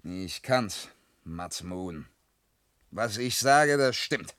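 A wild young peasant braggart. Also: male voice, fairly low pitch, animated, drawn-out words, clean tone, full character voice. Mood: hushed, intent. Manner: swaggering, impulsive, swinging between outbursts and hushed wheedling. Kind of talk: theatrical radio play acting in verse. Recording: radio drama with string music underneath.

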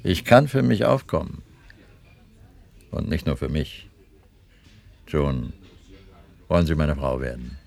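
A man's voice: a bass voice, sing-song, takes it slowly, creaky, pauses often, darkish tone, full character voice. An older actor in a German radio play, playing a gallant, self-assured financier in his sixties with formal manners. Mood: earnest wooing. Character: courtly, self-assured financier of sixty-two, wooing a younger woman.

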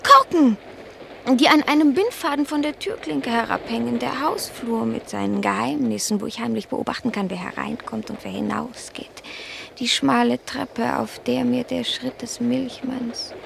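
A woman's voice: on the high side, normal speed, creaky, fairly bright tone, slight background noise. A woman voicing a haughty, grumbling, melancholy pet cat in a theatrical, self-dramatizing radio play manner. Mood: wistful, melancholy.

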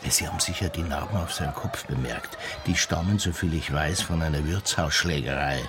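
An actor playing a wordy, evasive small-town official in a radio drama, disdainful and gossipy. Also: male voice, rumbling low, fairly quick. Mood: dismissive. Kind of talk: naturalistic radio drama dialogue. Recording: clear ambient noise behind dialogue.

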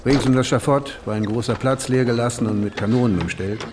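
An actor playing a sober official who recounts a king's execution in measured, matter-of-fact radio drama narration. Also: medium pitch, close to an everyday voice. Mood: sober, grave. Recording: long reverberant hall, faint noise.

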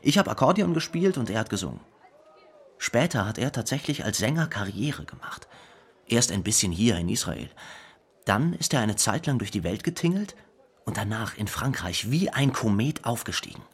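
A man's voice: lowish voice, big pitch swings, moderate pace, slightly rough, full character voice. An actor playing a grown man who reflects on his late brother in a plainly told radio drama monologue. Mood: reflective, wistful. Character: grown younger brother fondly recalling his famous late brother.

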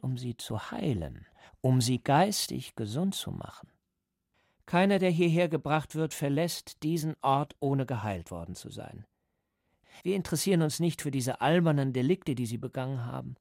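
An actor playing a coldly patient, menacing party interrogator who lectures deliberately and insistently in a radio drama. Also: male voice, mid-range voice, lively intonation, creaky, darkish tone, no room sound, clean studio sound. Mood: patient, controlling. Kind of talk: German radio drama acting.

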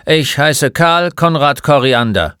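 A man playing a gruff, mocking old shopkeeper in a storybook, with a blunt, grumbling manner. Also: a little high, expressive, slow, fairly bright tone, dry recording, clean studio sound, pitched above the performer's own voice. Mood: gruff, curt. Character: gruff, mocking old male bookshop keeper. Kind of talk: storybook character voice.

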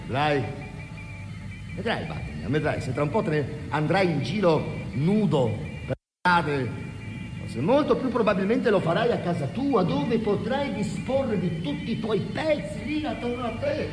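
An actor playing a mocking, grotesque, ranting guardian in an experimental theatre monologue, taunting with dramatic pauses. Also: male voice, high voice, very animated, music in the background. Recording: echoey hall with long reverb.

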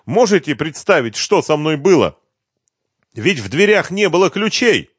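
In a detective audiobook, a male narrator plays a frightened singer being questioned. His voice is pitched very high, whiny and pleading. He sounds weepy and close to hysteria, with a dry, close sound. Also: sing-song, normal speed, breathy edge, no room sound. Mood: anxious confession. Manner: pleading, whining, stammering protests. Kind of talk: audiobook detective novel dialogue.

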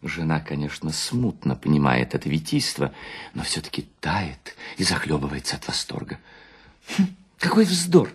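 A man reading a short story as an actor, in a theatrical, expressive and ironic storytelling manner. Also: very deep voice, normal speed, husky. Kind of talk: theatrical dramatic literary narration with acted dialogue.

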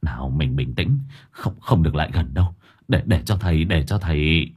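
A man voicing an anxious, grieving father who pleads in halting words. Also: very deep voice, expressive, a little mellow, breathy edge, pitched below the performer's own voice, full character voice. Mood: anxious, restraining. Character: anxious father calming wife, grieving for son.

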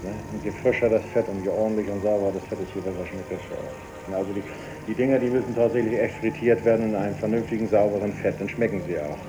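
A man's voice: fairly low pitch, fairly even intonation, quick pace, music in the background. A man giving his opinion casually and emphatically, repeating himself and ending phrases on a questioning tag. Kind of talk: casual spoken opinion in an audio collage. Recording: noisy old cassette tape recording.

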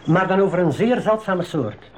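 A man acting a knowledgeable, calm reserve guide in a 1980s school radio drama, explaining things in a measured, articulate way. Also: high voice, slight background noise. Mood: earnest, informative.

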